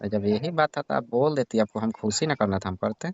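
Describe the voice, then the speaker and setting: A man's voice: lowish voice, animated, brisk, gravelly, a little mellow. A man acting a cocky young married son-in-law in a staged comic phone call, asking blunt, needling questions. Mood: probing. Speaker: comedy call actor. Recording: dull lo-fi phone call recording.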